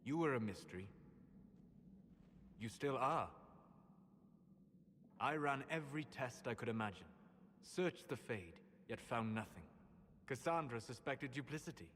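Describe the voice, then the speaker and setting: An actor playing a calm, scholarly mage in a video game, speaking in measured, formal, reflective phrases. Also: male voice, mid-range voice, slight background noise, close to an everyday voice. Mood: grave, reflective. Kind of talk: scripted video game dialogue.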